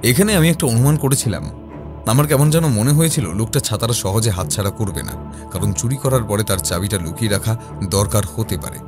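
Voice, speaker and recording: A man playing a composed detective who recounts his investigation in a measured, explanatory first-person narration. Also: on the low side, natural voice. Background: background music.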